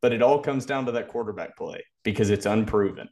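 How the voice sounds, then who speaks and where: A man's voice: fairly low pitch, brisk, a little mellow. A man co-hosting a sports podcast, giving casual analysis in a conversational way.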